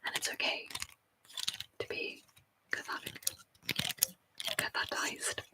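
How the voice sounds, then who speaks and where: A woman's voice: slow, low-quality recording. A woman whispering into a close mic at her home desk, rambling chattily to a live stream audience. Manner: soft rambling whisper, chatty and self-deprecating. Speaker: ASMR streamer. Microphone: sensitive close mic.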